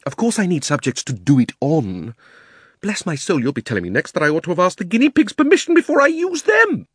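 A man's voice: high voice, huge pitch swings, brisk, slightly rough, dry recording, studio quality, pitched above the performer's own voice, exaggerated voice. A man voicing a pompous, cruel old uncle who is a self-important magician in a storybook, with a haughty, indignant, condescending manner. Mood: outraged, grandiose. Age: older. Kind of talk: dramatised storybook reading.

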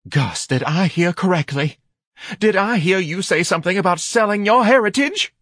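A man's voice: high-pitched, lively intonation, normal speed, a bit bright, slightly rough, no room sound, studio quality, pitched above the performer's own voice, full character voice. A man voicing a self-righteous, melodramatic middle-aged wife in an audiobook, nagging and reproachful. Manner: indignant, reproachful, dramatic.

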